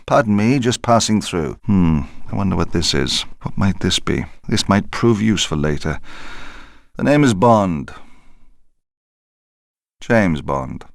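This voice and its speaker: A man's voice: low-pitched, vocal fry, dry recording. An actor playing a suave, unflappable secret agent in a video game, dry and wry in his delivery. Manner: dry, quipping understatement.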